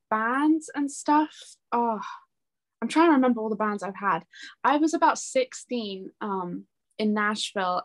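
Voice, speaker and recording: A young woman talking casually as an interview guest, telling anecdotes in a chatty, giggly way. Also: mid-range voice. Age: young adult. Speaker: interview guest, singer-songwriter.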